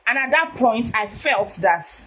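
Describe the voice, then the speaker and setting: Nigerian woman live-streaming: naturally loud, high voice, presses her points hard. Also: big pitch swings, measured pace. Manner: emphatic, repeats herself. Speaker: vlogger. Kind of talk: Facebook live video.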